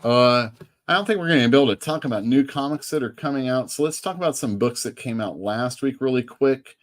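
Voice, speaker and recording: Chatty, relaxed male comic shop host. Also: medium pitch, a little fast. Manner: relaxed, chatty, trails off mid-sentence. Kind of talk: casual chat. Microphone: streaming setup microphone.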